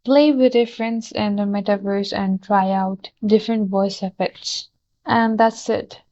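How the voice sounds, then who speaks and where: Slow-paced woman narrating, slightly dull sound. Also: medium pitch, steady tone, warm tone. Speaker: tutorial host. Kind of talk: scripted tutorial narration. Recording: dull, lo-fi voice track.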